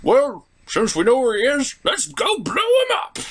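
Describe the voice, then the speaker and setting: A man voicing a crude, boastful, senile old wizard pony in a raspy, cantankerous comedy puppet-show voice. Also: very high voice, wildly swooping pitch, a little slow, fairly bright tone, exaggerated voice. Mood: bossy. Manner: cantankerous, boastful, foul-mouthed.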